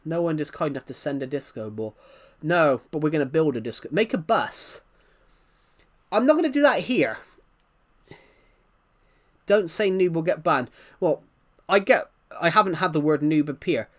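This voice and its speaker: A man's voice: fairly high pitch, expressive, normal speed. A man hosting a casual gaming livestream, talking in a rambling, stuttering way as he answers viewer comments. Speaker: livestream host.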